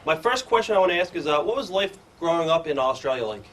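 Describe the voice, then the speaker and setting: A male interviewer asks brisk, plain questions at a very quick pace. His voice sits a little above the usual male range. He keeps the interview moving. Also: a little high, expressive, fairly bright tone. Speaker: interviewer, host.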